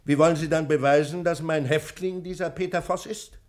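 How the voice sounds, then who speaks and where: An actor playing a pompous, stubborn and indignant prison director in a 1960s German radio drama. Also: male voice, a little high, steady tone, a little fast, close to an everyday voice. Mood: sceptical, officious. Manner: pompous, officious, scoffing.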